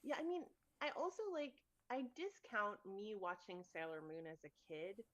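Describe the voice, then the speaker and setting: A woman's voice: mid-range voice, sing-song, normal speed, a bit bright, dry recording. A woman from Thailand, a game designer, chatting casually in an interview, full of "like" and laughter.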